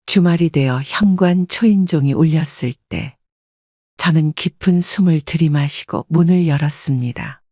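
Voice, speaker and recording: A woman calmly reading a scripted first-person family story as narrator. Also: low-pitched, normal speed, no echo, studio quality.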